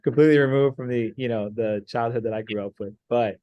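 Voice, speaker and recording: A man's voice: medium pitch, quick pace, no room sound, lo-fi sound. A young man from Miami, a pool player guest, talking casually and rambling with plenty of fillers. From Miami, Florida. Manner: relaxed, rambling with many fillers. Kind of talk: casual interview chat.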